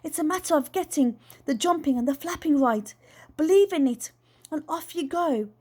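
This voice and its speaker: Woman acting an excitable, childlike plump dad, high-voiced. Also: moderate pace. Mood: eager, insistent. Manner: playful, boastful, eager.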